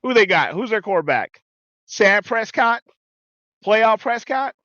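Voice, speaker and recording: A man's voice: high-pitched, expressive, unhurried, pushed and bright, no room sound, highs cut off, exaggerated voice. A man playing a swaggering Southern football coach. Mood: mocking. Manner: swaggering, indignant, calls people sir. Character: blustery boastful male football head coach, middle-aged. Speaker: podcast co-host in character. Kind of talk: comedic character bit.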